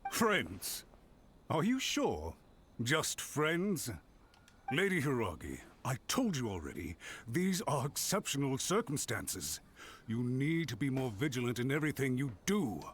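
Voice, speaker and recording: A man voicing a highly strung, suspicious retainer of a noble lady in a video game, formal and emphatic. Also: big pitch swings, measured pace, fairly bright tone, a little hiss or hum. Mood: alarmed, suspicious. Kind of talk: English video game voice acting.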